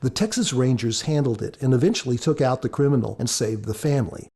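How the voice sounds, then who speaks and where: A man's voice: medium pitch, lively intonation, fast, warm tone, breathy edge. A man speaking as an author on a podcast, giving scripted commentary.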